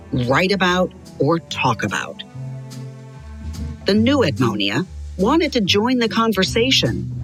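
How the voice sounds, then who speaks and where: Female narrator for kids' biography audio: deep register, highly varied intonation, expressive storytelling read over soft music.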